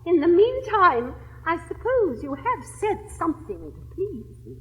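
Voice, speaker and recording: A woman's voice: very high voice, wildly swooping pitch, unhurried, exaggerated voice. An actress playing a witty, affected young society lady in a classic stage comedy, with a drawling, swooping delivery. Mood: coy. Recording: reverberant old recording, faint hiss.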